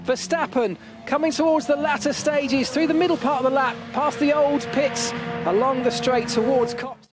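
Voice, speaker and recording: A man calls a motor race live as lead commentator. His voice is pitched very high, in an excited play-by-play style. Music and the sound of racing cars run behind him. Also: moderate pace.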